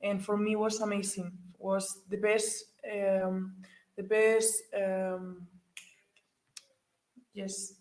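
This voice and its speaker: Teen woman from Spain, mid pitch, halting answers, echoey room. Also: little pitch movement, a little mellow. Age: teens. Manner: halting, searching for words. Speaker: participant. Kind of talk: interview answers. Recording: echoey space.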